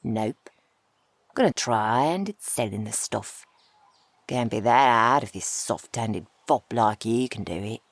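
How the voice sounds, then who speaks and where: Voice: medium pitch, lively intonation, measured pace, no echo. A performer acting a brash, mocking bandit in a roleplay audio drama, talking in blunt, slangy taunts. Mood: smug, taunting. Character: brash, mocking bandit robbing a merchant's cargo.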